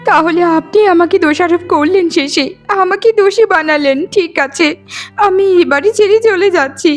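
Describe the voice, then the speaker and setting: A woman's voice: very high voice, moderate pace, soft background music. A woman voicing an earnest, wronged young daughter-in-law who pleads piously in a melodramatic cartoon. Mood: hurt, resigned. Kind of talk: melodramatic cartoon moral-story voice acting.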